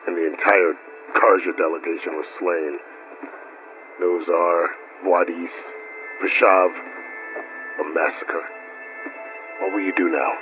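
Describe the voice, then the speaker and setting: An actor playing a weary but helpful frontier officer in a video game, explaining things gravely and steadily. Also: male voice, low voice, level tone, slow, long pauses. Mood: grave, concerned. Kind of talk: naturalistic game dialogue. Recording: dull game audio with music.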